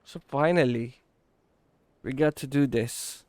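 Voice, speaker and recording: A man's voice: mid-range voice, slow, a little mellow, no room sound, studio quality. A man giving brief, easygoing, casual reaction commentary as a gaming YouTuber. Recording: game music behind the voice. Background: game music.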